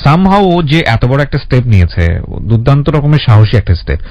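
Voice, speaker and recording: A man's voice: medium pitch, very animated, brisk, a little mellow, no echo, muffled recording. A male radio host interviewing a guest about her life, prompting her with questions and slipping into English phrases. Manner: prompting, mixes English phrases, frequent backchannel.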